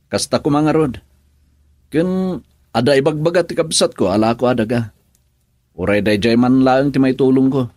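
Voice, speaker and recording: An actor plays a troubled young husband in a radio drama, a man with an Ilocano accent. He talks hesitantly and musingly at a middling pitch, in a very dry, close sound. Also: a little slow, pauses often, darkish tone, no room sound, lightly acted. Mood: friendly, respectful. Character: troubled young married man confiding in an elder. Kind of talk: Filipino radio drama acting.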